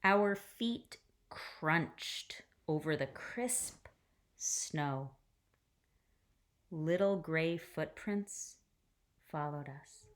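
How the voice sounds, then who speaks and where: A woman teacher reading a picture book aloud to children in an expressive way, with deliberate pauses and hushed phrase endings. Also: sits low.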